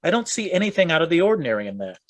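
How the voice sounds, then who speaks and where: A man's voice: fairly high pitch, very animated, racing. A man playing a puzzled, uneasy associate in a Gothic radio play, speaking formally and with some hesitation. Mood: formal, careful.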